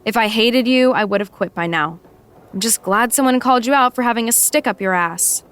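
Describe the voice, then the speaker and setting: An actress as a female assistant with a fairly high voice, blunt and exasperated with her boss. She speaks firmly and articulately, with dry sarcasm, in naturalistic audio drama style. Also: a little fast, a bit bright, smooth, lightly acted. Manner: firm, articulate, reproving, dryly sarcastic.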